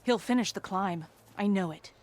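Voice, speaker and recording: A woman acting a proud young soldier in a video game. Her voice is medium in pitch, blunt and guarded, with a defensive edge. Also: moderate pace, darkish tone, natural voice. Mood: defiant.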